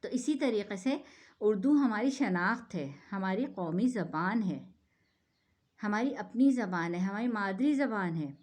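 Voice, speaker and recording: A woman's voice: mid-range voice, expressive, measured pace, a little mellow. A woman from Pakistan giving an earnest explanatory talk, often asking rhetorical questions and checking in with "theek hai". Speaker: speaker giving a talk on the national language.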